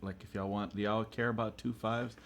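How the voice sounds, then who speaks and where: A man's voice: fairly low pitch, flat delivery, a little fast, vocal fry, a little hiss or hum. A man giving a casual, colloquial guitar lesson as a guest player, saying y'all as he explains and demonstrates. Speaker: guest guitarist teaching licks. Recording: loud guitar playing alongside the voice. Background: electric or acoustic guitar playing.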